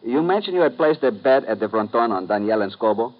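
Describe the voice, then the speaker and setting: An actor playing a courteous Cuban police lieutenant in a 1950s radio drama, measured and formal. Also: male voice, mid-range voice, lively intonation, natural voice. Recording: dull old radio broadcast, faint noise.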